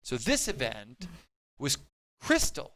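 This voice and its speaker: A man's voice: medium pitch, huge pitch swings, bright, forceful tone. A man co-hosting a casual livestream, muttering to himself as he works the tech and reads out viewer messages. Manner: halting, muttering to self while working. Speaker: livestream co-host running the tech. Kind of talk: casual chat, reading viewer messages aloud.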